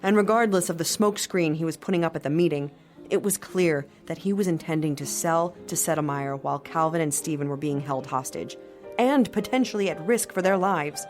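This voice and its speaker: A woman's voice: deep voice, fairly quick, faint music behind, lightly acted. An actress playing a skeptical company lawyer telling her story in an audio drama with dry, wry disapproval. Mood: wry, reflective. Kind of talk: first-person audio drama narration.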